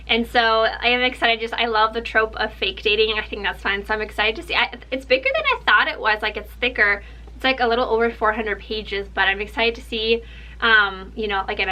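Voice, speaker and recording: A young woman with Russian as her first language, talking through a casual book haul. Her voice is bright and a little high, and she sounds eager and chatty, with plenty of "like" and "um" in her talk. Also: low-quality recording. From Ukraine. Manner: chatty, excited, full of "like" and "um". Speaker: book vlogger.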